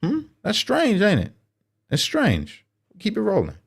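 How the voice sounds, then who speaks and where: A man's voice: sits high, huge pitch swings, measured pace, creaky, breathy edge. A man hosting political commentary, speaking bluntly and sarcastically as if straight to the person he criticizes. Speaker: commentary host.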